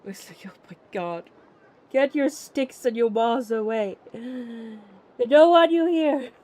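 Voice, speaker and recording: A streamer chatting casually in a rambling, giggly, expressive way. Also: medium pitch, takes it slowly. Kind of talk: casual livestream chat.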